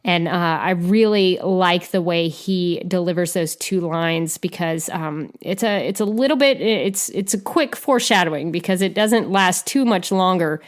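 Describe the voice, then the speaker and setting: Woman chatting about film, lower-pitched voice. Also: unhurried, few pauses. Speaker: podcast co-host. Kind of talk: casual film discussion.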